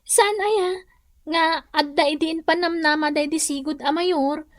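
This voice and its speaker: A woman's voice: sits high, steady tone, unhurried, no echo. An actress playing a helpful, respectful young woman in an Ilocano radio drama, politely explaining things with an Ilocano accent. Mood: reassuring. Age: young adult.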